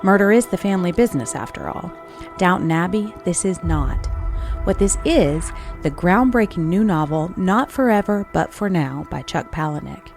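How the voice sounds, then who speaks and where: A woman's voice: on the low side, expressive, moderate pace, darkish tone, soft background music. A woman announcer delivering a scripted advertisement. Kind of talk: scripted ad read.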